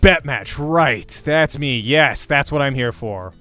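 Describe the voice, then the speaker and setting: A man's voice: mid-range voice, lively intonation, slow, pushed and bright, soft background music. A man voicing an uneasy but determined young gamer, the hesitant protagonist of a visual novel. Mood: exasperated.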